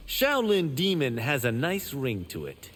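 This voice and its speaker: An actor voicing a boastful, vain young monk in a video game. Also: male voice, mid-range voice, wildly swooping pitch, a little slow, a bit bright, exaggerated voice. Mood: cocky.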